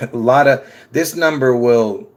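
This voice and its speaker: A man from Lebanon talks straight to his viewers in a loose, rambling monologue. His voice sits in an ordinary middle pitch. He stops and restarts his sentences often. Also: takes it slowly. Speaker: conspiracy video creator. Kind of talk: rambling casual monologue to camera.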